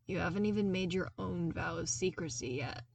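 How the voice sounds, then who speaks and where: A woman's voice: fairly low pitch, normal speed, vocal fry, darkish tone, far from the mic, slight background noise. A woman voicing a blunt, wry young male trainee, grudgingly playful, in an audio story. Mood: teasing grumble. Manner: blunt, dry, grumbling.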